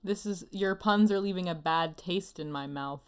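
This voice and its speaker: A woman co-hosting an anime review show, chatting casually in an expressive, playfully exasperated way. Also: lowish voice, moderate pace, smooth.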